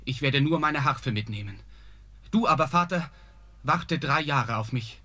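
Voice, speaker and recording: An actor playing a brave, polite young prince in a fairy-tale audio drama. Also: male voice, medium pitch, measured pace, pushed and bright. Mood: determined.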